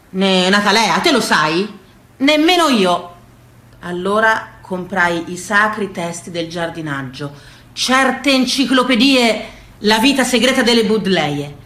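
A woman performing a comic autobiographical stage monologue in a theatrical, expressive storytelling manner. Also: mid-range voice.

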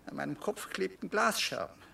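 A man's voice: high-pitched, lively intonation, moderate pace, vocal fry, bright, forceful tone, recorded from a distance, slight background noise, exaggerated voice. A man playing a meek, anxious stepbrother in a radio drama, speaking in a hesitant, deferential and precise way. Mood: anxious, haunted. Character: meek, anxious stepbrother of two elderly teacher sisters. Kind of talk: German radio drama acting.

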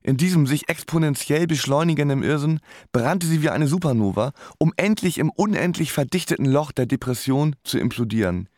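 An actor playing a sardonic, neurotic musician who narrates his own story in a deadpan, precise way for a radio play. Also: a little high, a little fast, hardly any pauses, no echo, clean studio sound, natural voice. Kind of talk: scripted literary narration.

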